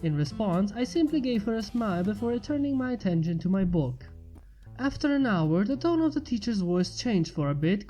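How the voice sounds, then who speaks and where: Woman reading fanfic out loud, soft mellow voice, quiet music under it. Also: medium pitch, low-quality recording. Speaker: fan fiction reader. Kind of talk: first-person story narration. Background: faint music.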